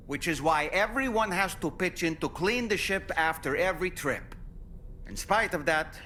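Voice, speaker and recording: A thoughtful, courteous game crewman with a bright, crisp-edged voice. His formal musings rise and fall in lively swoops as he gently wonders aloud. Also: a little high, slight background noise. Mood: wry, earnest. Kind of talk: video game character monologue.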